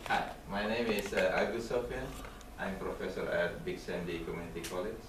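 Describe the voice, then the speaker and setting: A man, introducing himself at a council meeting in a halting way, full of fillers. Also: deep voice. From Indonesia. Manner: halting, frequent fillers and restarts. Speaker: college professor speaking at public comment. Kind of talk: informal public introduction. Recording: echoey room picked up from far off, steady noise.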